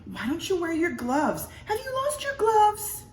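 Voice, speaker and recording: A woman voicing a nagging, fussy middle-aged wife in a read-aloud story, shrill and scolding with drawn-out words. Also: huge pitch swings, exaggerated voice. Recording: roomy, distant mic, faint noise.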